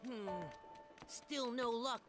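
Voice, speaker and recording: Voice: medium pitch, steady tone, slow pace, over music, natural voice. An actor voicing a small, cheerful, naive winged creature with childlike exclamations in a video game cutscene. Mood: puzzled.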